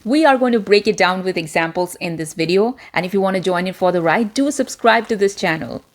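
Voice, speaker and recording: A woman presenter with an American-influenced transitional accent, giving a deliberate, clearly spoken self-help talk to camera. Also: mid-range voice, expressive.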